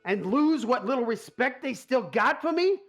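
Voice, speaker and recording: An actor in a comedic radio play playing a short-tempered, stressed mob boss with a gruff, tough-guy way of talking. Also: high-pitched, animated, normal speed, faint music behind. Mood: panicked.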